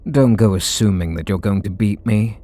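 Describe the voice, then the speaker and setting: A man's voice: on the low side, normal speed, warm tone, no echo, natural voice. A man playing a brooding, sardonic super villain confessing hidden devotion in an ASMR roleplay audio drama. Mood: sardonic, dismissive.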